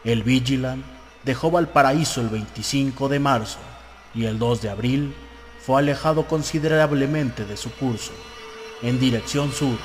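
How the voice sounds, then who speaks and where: A man's voice: mid-range voice, moderate pace. A man narrating an audiobook, reading out a newspaper report in a dramatized style. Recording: long hall reverb, music behind. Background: music.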